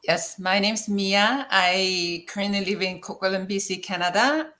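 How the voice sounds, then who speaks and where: A woman's voice: mid-range voice, a little slow, pushed and bright. A woman from Korea, a co-host giving a short self-introduction.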